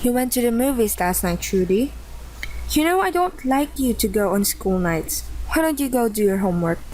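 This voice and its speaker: Woman playing a high-voiced, exasperated mother. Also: lively intonation, normal speed, a little mellow, slight background noise, lightly acted, poor audio. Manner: scolding, exclamatory, motherly. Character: busy, exasperated middle-aged mother of teenagers. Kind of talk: radio play acting.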